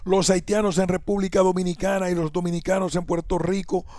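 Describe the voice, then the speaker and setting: A man reading an academic essay aloud in a measured, even delivery, sometimes correcting himself. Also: high-pitched, no echo, studio quality. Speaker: essay reader.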